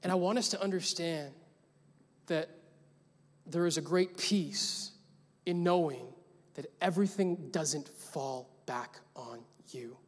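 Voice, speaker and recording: A man's voice: on the high side, slow. A young adult man preaching a sermon as pastor, telling a story casually and building to emphatic points. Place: large church hall. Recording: long church hall reverb.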